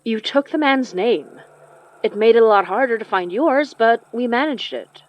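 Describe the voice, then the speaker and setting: A woman playing a steady, protective young spell caster in an audio horror drama, with casual, natural delivery. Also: on the high side, moderate pace, natural voice. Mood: guarded. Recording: dry, slightly muffled studio.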